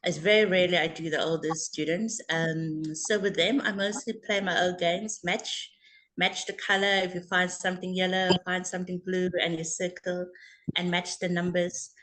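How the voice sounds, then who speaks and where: A woman's voice: lowish voice, little pitch movement, moderate pace, a bit bright, poor audio. A woman from Africa, an online teacher in a casual group discussion. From South Africa.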